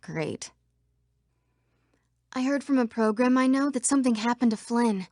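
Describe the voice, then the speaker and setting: Here is a young woman playing an earnest citizen program from a digital world in a video game. The voice is fairly high and very dry. Each line is crisp and dramatic. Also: measured pace, darkish tone, no echo, natural voice. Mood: wary.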